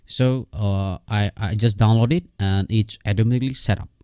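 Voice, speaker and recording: A man giving a casual, unscripted software tutorial in a halting, repetitive way, often checking in with his listener. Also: lowish voice, steady tone, clean tone, dry recording, studio quality.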